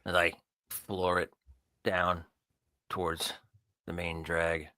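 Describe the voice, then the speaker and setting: A man's voice: deep voice, monotone, slow, bright, forceful tone, no echo, studio quality. A man narrating his character's actions in the first person during a tabletop roleplay game.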